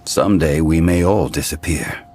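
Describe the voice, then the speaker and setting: An actor playing an ancient god in human guise in a video game, speaking in a formal, measured, wistful way. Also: male voice, extremely low pitch, a little mellow, faint music behind. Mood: reflective. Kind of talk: video game story dialogue.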